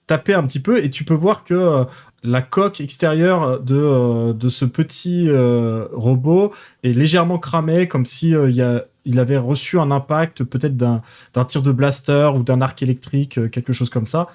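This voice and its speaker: A man's voice: medium pitch, normal speed, darkish tone. Game master guy, middle voice, lots of ums while he describes stuff. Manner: hesitant, many fillers. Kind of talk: narrating a tabletop game.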